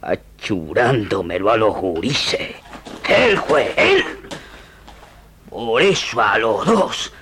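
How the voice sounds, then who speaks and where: Old wounded rural Argentine gaucho soldier, rustic and emotional, lively pitch, drawn-out pacing, for radio drama. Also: male voice, a little hiss or hum, exaggerated voice. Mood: bitter, vengeful. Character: old rustic wounded gaucho frontier sergeant, stubborn, grieving.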